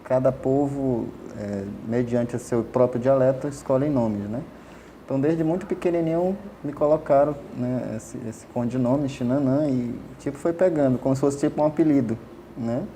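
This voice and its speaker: Mellow-voiced guy explaining things in an interview, keeps restarting and tagging questions, bit of background hiss. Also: mid-range voice, normal speed. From Amazonas, Brazil. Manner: hesitant, many fillers and restarts, frequent tag questions. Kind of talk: casual explanatory interview answers.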